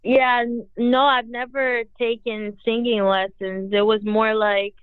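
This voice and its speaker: A woman's voice: on the high side, flat delivery, a little slow, clean tone, no room sound. A young woman, a singer whose first language is Portuguese, answering interview questions in a rambling way with constant "like" fillers. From Brazil. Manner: rambling, heavy use of "like". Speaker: singer, guest. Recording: tinny phone-like audio.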